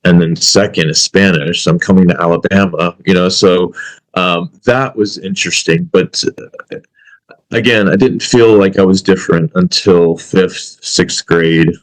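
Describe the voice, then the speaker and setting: A man's voice: deep voice, steady tone, unhurried, rough recording. A man in his thirties from the United States whose first language is Arabic, talking reflectively as a podcast guest with frequent fillers. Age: 30s. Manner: reflective, many fillers and restarts. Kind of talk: casual interview chat.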